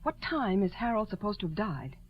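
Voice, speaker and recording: Nervous female co-conspirator played by an actress, mid pitch, hesitant, dull 1940s radio recording. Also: very animated, a little fast, natural voice. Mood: uneasy. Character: uneasy female accomplice in a murder scheme.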